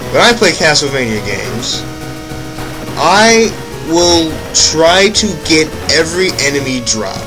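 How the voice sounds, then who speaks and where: A man's voice: mid-range voice, rough recording. A young man giving casual, rambling commentary on his own gameplay, often correcting himself mid-sentence. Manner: rambling, self-correcting, offhand asides. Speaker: let's play commentator. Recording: game music loud behind voice. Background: game music.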